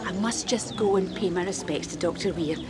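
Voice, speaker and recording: A woman's voice: lowish voice, fairly quick, a crowd in the background, lightly acted. An actress playing a chatty, eccentric woman, prim and confiding, in a radio drama.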